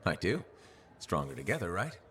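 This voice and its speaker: Wry, low-voiced hero. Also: male voice, big pitch swings, normal speed, fairly bright tone, slight background noise. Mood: dry, firm. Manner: dry, wry, level-headed. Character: confident wry young freelancer hero. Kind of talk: video game cutscene acting.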